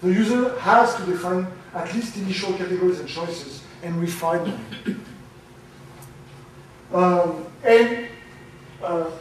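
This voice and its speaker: A man giving a conference talk, loud and halting, with many fillers. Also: high voice, a little hiss or hum. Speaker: conference speaker. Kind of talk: academic presentation. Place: lecture room. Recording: very echoey, from far off.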